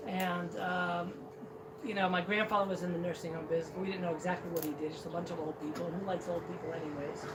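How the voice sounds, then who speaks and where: A man's voice: sits high, steady tone, fast talker. Joking man telling a story. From Cincinnati, Ohio, US. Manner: self-deprecating, joking, frequent restarts. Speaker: speaker at a celebration. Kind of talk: humorous personal storytelling. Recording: distant mic, noisy hall.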